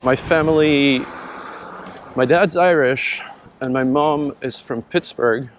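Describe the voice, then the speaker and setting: A man in his thirties with a French accent talks easily as he rides along city streets. He circles back over his words and repeats himself, relaxed and unhurried. Faint traffic murmurs behind him. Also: medium pitch, poor audio. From France. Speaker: startup founder. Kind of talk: casual conversation while riding.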